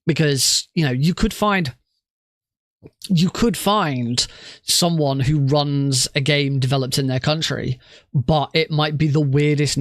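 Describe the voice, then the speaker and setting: A man's voice: mid-range voice, dry recording, clean studio sound. A man with a cough and a congested nose, chatting casually to stream viewers in a rambling way with many restarts. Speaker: streamer and marathon organiser.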